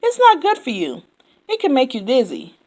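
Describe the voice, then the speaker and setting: A woman voicing a chatty male yak taxi driver who never stops talking, loud and indignant. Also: high-pitched, huge pitch swings, normal speed, pitched above the performer's own voice, exaggerated voice. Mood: chattering.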